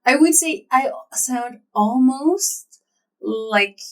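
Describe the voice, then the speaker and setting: A woman's voice: sits high, expressive, drawn-out words. A woman co-hosting a podcast, chatting casually and agreeably, often backing her partner up with "yeah". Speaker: podcast co-host. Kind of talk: casual chat. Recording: voice a bit off-mic.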